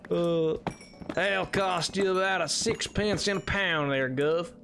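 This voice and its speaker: A man's voice: high-pitched, takes it slowly, creaky, noisy background, full character voice. A man voicing an easygoing, polite cowboy with a drawling Southern US accent and a folksy, rambling, repetitive way of talking on a livestream. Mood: folksy, friendly. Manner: folksy, rambling, repeats himself. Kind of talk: improvised livestream banter. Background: music.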